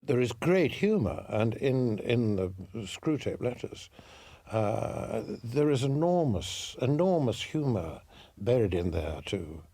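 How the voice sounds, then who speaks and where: A man talking reflectively in an interview, halting and repeating phrases. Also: mid-range voice, big pitch swings, dark tone.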